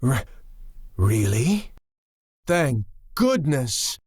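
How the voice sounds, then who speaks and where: An actor voicing an excitable, bashful male scientist in an anime game, deferential and fretful, with a flustered, stammering delivery. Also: medium pitch, very slow, breathy edge, no echo, clean studio sound, full character voice. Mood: flustered, relieved. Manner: stammering, flustered, eagerly explanatory. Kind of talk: English anime game dub acting. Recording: music behind.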